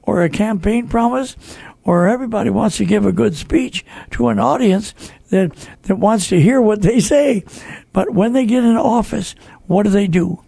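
Older American man, high raspy voice, earnest host, conversational preaching, repeated phrases. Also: normal speed, dark tone, no room sound. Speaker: talk show host.

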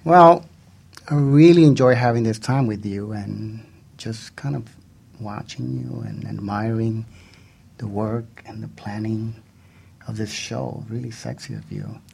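A man's voice: on the low side, fairly even intonation, a little slow, creaky, a little mellow, slightly rough. A man co-hosting a radio show in a studio with casual, flirtatious banter.

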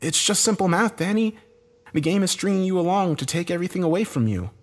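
Man acting a desperate, bitter man, pitch soaring, husky. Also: animated, fast talker. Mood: explaining, frustrated. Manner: agitated, swearing, urgent. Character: Josh, desperate bitter man, cornered game loser.

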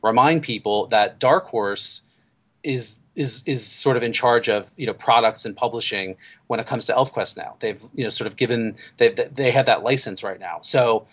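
Man, podcast host, chatty and thinking aloud, medium pitch, dull lo-fi recording. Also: moderate pace, slightly rough. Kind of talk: casual fan podcast chat. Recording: slightly dull lo-fi audio.

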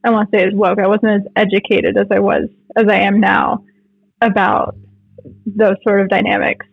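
A woman's voice: mid-range voice, flat delivery, normal speed, gravelly, dry recording, phone-quality sound. A woman in her late twenties with a North American accent, chatting informally in an interview and telling stories in a loose, conversational way. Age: late 20s. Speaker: podcast guest, jiu jitsu writer.

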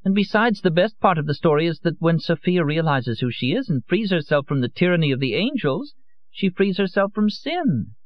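A man's voice: fairly high pitch, very animated, moderate pace, no room sound, dull audio, voice pushed up. A man voicing a drunk, theatrical young woman who drawls coyly and stumbles over her words. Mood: drunken coy. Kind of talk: audiobook character voice.

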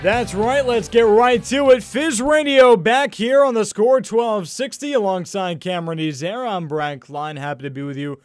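A man co-hosting a sports radio show with a fairly high voice, loud and joking, full of energy. The studio sound is dry and close. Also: normal speed, few pauses, no echo, soft background music. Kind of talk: casual sports talk radio.

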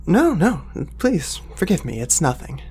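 A man's voice: fairly high pitch, big pitch swings, measured pace, a little mellow, husky, full character voice. A man playing a nervous, apologetic young assistant in an audio drama, hesitant, polite and easily flustered.

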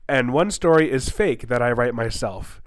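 A man's voice: mid-range voice, animated, normal speed. Young guy podcast host, stuffed up nose, rambly, keeps restarting sentences. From United States. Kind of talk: casual podcast chat.

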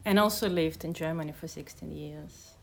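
A woman poet and translator from Kazakhstan, speaking in a measured, hesitant way as she talks and reads. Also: low-pitched, vocal fry. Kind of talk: casual conversation and poetry reading.

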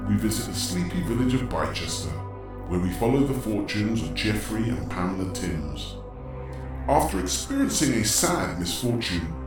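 A sinister, otherworldly horror host narrates with florid menace in a very low voice. His words are theatrical and ominous, drenched in cavernous echo over loud music, as in a gothic audio drama. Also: recorded from a distance.

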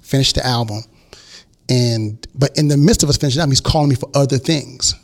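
A man with a gravelly, creaky voice in his forties, talking casually in an interview. He tells a long story and acts out what people said to him. His pitch sits in the middle for a man. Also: quick pace. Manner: animated storyteller acting out quotes. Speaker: guest record producer.

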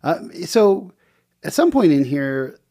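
A man in his forties hosting an interview chat, enthusiastic and teasing, with quick interjections. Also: on the high side, sing-song, dark tone. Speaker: host.

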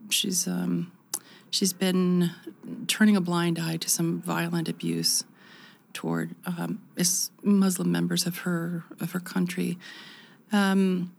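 Gravelly-voiced woman in her fifties. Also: fairly low pitch, takes it slowly. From United States. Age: 50s. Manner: reflective, animated, many restarts. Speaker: interview guest. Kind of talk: conversational interview.

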